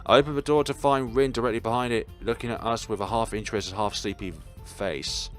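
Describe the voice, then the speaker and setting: A man's voice: mid-range voice, steady tone, a little fast, fairly bright tone, soft background music. A man reading a visual novel aloud as a let's player, giving dramatic scripted narration with occasional stumbles and repeated words.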